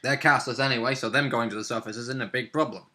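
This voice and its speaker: A man with an extremely bright, edgy voice at a middling pitch. He is a tabletop roleplay player in casual chat, often adding short agreeing remarks. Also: fairly even intonation, fast talker.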